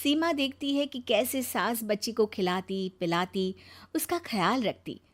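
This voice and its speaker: A woman's voice: fairly high pitch, expressive, unhurried. A woman narrating a scripted family story as a storyteller.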